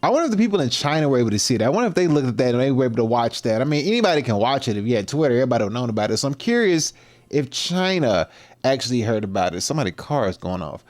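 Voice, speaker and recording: A man's voice: medium pitch, big pitch swings, rapid-fire. Rambling slangy male livestreamer. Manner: loose and speculative, many fillers and restarts, slangy. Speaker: livestream host reacting to a video. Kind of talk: casual rambling commentary.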